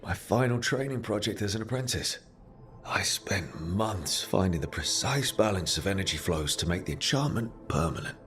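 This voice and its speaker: Over game music, a low-pitched young male hero speaks. He is a rebel assassin mage, confident and kind, with a wry self-assurance suited to reflective fantasy game monologue. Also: expressive, moderate pace, a bit bright. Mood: proud, wry.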